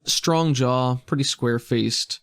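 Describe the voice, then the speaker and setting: A man's voice: mid-range voice, takes it slowly. A man working as game master, improvising descriptive narration that sets scenes in a tabletop game.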